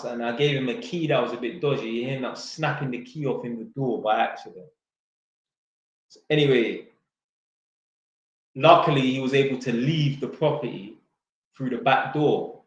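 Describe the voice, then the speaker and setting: A man's voice: mid-range voice, moderate pace, dull audio. A man reads out viewer questions and answers them bluntly in casual, slangy chat, swearing freely and joking. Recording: very echoey room.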